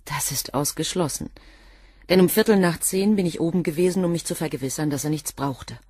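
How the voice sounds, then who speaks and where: An actress playing a cool, devoted assistant to a professor in a radio drama, answering questions in a guarded, curt way. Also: female voice, deep voice, brisk, a little mellow, husky, no echo, studio quality. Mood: composed. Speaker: witness under questioning.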